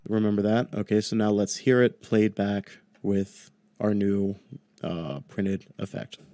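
A young man with a fairly low voice walks through a screen-recorded tutorial in an informal, chatty style. He is on a close desk mic in a small room at home, and the sound is dry. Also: flat delivery, measured pace, warm tone, no echo. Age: 20s. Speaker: audio tutorial host. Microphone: close desk microphone on boom arm.

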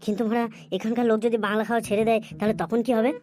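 Brief: woman as whiny young male cartoon drinker, pleading and grumbling, high voice, extremely quick patter. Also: level tone, lightly acted. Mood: grumbling, crude. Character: hapless, wheedling young male boozer, crude and whiny. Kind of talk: comedy cartoon dialogue and monologue.

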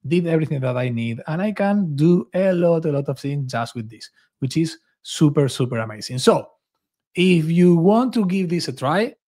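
Higher-pitched man presenting a coding tutorial. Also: animated, normal speed, darkish tone. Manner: enthusiastic, informal. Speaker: software developer advocate, presenter. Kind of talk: screen-share coding tutorial, casual narration. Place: small home office indoors.

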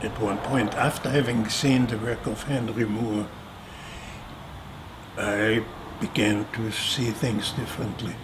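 An older man from Austria, a sculptor answering interview questions in a slow, deliberate way with pauses and restarts, seated indoors. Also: on the low side, little pitch movement, noisy background. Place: indoor studio room.

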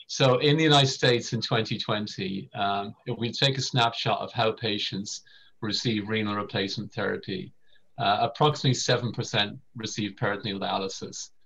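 A man's voice: lowish voice, normal speed, creaky, a bit bright, slight background noise. A middle-aged Irish man with an Irish accent giving a semi-formal medical lecture over a video call. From Ireland. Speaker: vascular surgeon giving a lecture. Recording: dull lo-fi video call audio.

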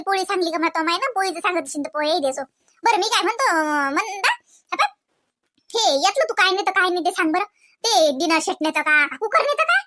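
Casting: an actor as a fussy, chatty cartoon village woman, rural Varhadi Marathi accent, rapid bright delivery, nagging colloquial manner. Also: very high-pitched, heavily acted. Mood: bossy, generous. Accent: rural Varhadi Marathi, Vidarbha. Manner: colloquial, nagging, repeats questioning tags. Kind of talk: comedy cartoon dialogue in rural household chat.

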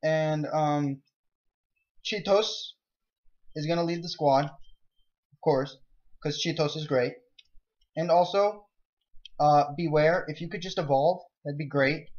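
A young man giving rambling, jokey commentary over a game he is playing, breaking into excitable outbursts. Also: on the high side, slow pace, pauses often. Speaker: let's play gamer. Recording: dull lo-fi mic.

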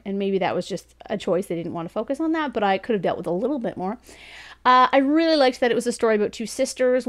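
Higher-voiced woman chatting through a review. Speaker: book reviewer and host. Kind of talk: casual review talk to camera. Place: small indoor room lined with bookshelves.

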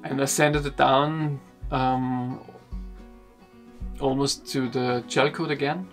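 A young man sits in a wooden boat cabin and explains a refit job to a camera. He talks very slowly, stopping and repeating words as he searches for them. His voice has a rough, gravelly grain, and a little guitar music plays softly behind him. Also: mid-range voice, steady tone. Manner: slow, halting, repeats words while explaining. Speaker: sailing vlogger.

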